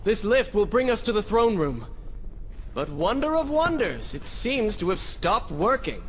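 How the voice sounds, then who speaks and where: An actor playing a proud adventurous prince in a video game cutscene, earnest and dramatic. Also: male voice, high-pitched, sing-song, measured pace, a little hiss or hum. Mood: focused, wry. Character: proud young adult prince, adventurous warrior hero.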